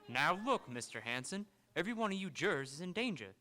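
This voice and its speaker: A man's voice: a little high, very animated, fast talker, pushed and bright. A man playing a brusque, world-weary police inspector in a live radio drama, with emphatic delivery. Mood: urgent warning. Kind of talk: live old-time radio drama acting.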